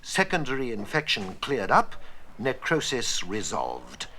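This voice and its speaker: Brisk, courteous male hospital doctor, played by an actor, radio play style, bright and lively in pitch, faint noise behind. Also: exaggerated voice. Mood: matter-of-fact. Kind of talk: educational radio play acting, dictation and phone calls.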